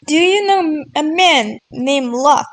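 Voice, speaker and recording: A woman's voice: high voice, expressive, unhurried, a crowd in the background. A woman voicing an earnest, blunt young woman who speaks in simple broken English in a tabletop game.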